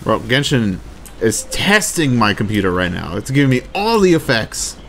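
A man giving casual, animated, exclamatory gaming commentary with plenty of swearing. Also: mid-range voice, moderate pace, gravelly. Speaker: let's play streamer. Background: video game music and effects.

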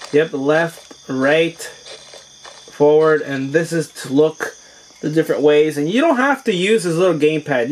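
A hoarse young man casually reviewing a VR headset at home, exclaiming through gameplay. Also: on the high side, a little hiss or hum, low-quality recording. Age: young adult. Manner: excited exclamations, chatty. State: hoarse from being sick. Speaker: tech reviewer and VR gamer. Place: small home room with shelves, indoors.

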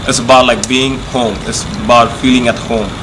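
A man's voice: medium pitch, flat delivery, normal speed. A young man from Bangladesh, president of a student association, giving an earnest casual welcome outdoors on a campus. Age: young adult. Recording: background noise.

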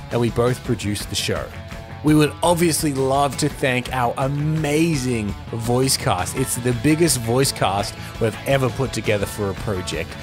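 A man in his thirties with a mid-range voice hosts a film podcast. He speaks casually on a close mic, with clear music underneath. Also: mid-range voice. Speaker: podcast host. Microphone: close studio microphone.

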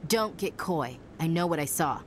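A woman's voice: sits low, lively intonation, moderate pace, slight background noise. A woman playing a blunt, hot-tempered soldier in a video game. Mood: indignant. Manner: blunt, indignant.

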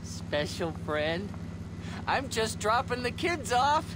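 An actor playing a creepy, unhinged male serial killer in a video game, talking in a soft, wheedling sing-song. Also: very high voice, sing-song, slow pace, full character voice. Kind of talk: video game cutscene dialogue. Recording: game audio with loud city noise.

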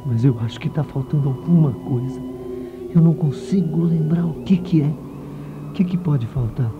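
A man playing a nosy, jittery reporter muttering to himself in a comic radio play, with a creaky voice. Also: a little high, normal speed, over music, close to an everyday voice. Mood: puzzled, spooked. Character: nosy, jittery newspaper reporter snooping alone. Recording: dull lo-fi radio recording.

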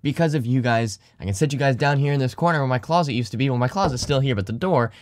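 A man's voice: mid-range voice, quick pace, no room sound, clean studio sound. A young man vlogging casually to his viewers in his room, rambling, swearing often, his voice tired from not talking all day. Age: young adult. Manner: rambling, swears a lot, thankful. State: tired, slightly deep morning voice. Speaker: YouTuber and streamer. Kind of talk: casual vlog talk to camera. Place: small bedroom corner.

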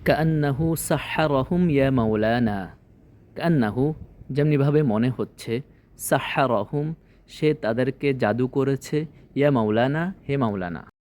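A man's voice: medium pitch, a little slow, a little mellow. A man giving a scripted language lesson, didactically translating phrase by phrase in small chunks. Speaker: language teacher.

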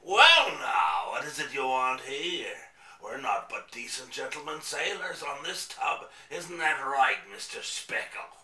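Male actor as blustering, threatening pirate sailor, animated; roomy distant recording. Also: medium pitch, unhurried, exaggerated voice. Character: menacing, mock-polite pirate sailor. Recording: roomy, distant camera sound.

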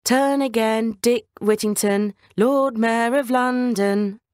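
Woman voicing chiming church bells, sing-song, pitched up. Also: slow, darkish tone, dry recording, clean studio sound. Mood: proclaiming. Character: talking church bells, grand chiming proclamation. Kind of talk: children's bedtime story character voice.

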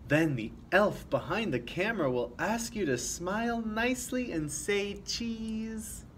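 Young guy reading a kids book out loud, takes it slow, expressive, little bit of room noise. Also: high voice. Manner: expressive, with commentary to young listeners. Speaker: storytime reader. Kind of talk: children's picture book read-aloud. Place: small office room, indoors. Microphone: camera a few feet away. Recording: faint background noise.

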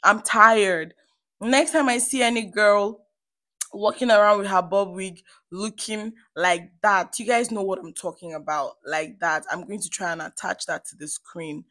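A woman's voice: medium pitch, unhurried, fairly bright tone, no room sound. A woman with a Nigerian Igbo accent giving a chatty beauty tutorial as a vlogger, playful and emphatic as she addresses viewers directly. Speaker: hair stylist and beauty vlogger.

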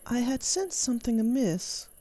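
A woman voices an elf queen, composed and commanding. Her voice is fairly high yet mellow, with the formal, regal delivery of a fantasy drama ruler. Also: big pitch swings, moderate pace. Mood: calm command. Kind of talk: fantasy audio drama roleplay.

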